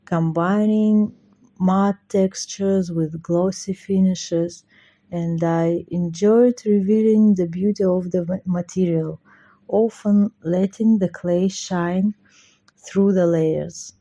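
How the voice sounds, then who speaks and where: A woman, a ceramic artist speaking slowly and carefully about her work, with hesitant fillers. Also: fairly low pitch, warm tone. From Russia. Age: 40s.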